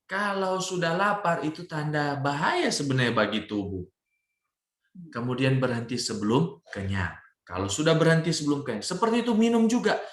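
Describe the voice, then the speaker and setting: A man with a Manado Indonesian accent gives a religious health talk as a doctor, emphatic, slowing on key phrases and often adding small check-in words. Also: on the high side, a bit bright. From Manado, North Sulawesi, Indonesia. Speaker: doctor giving a health testimony. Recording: roomy, echoing sound.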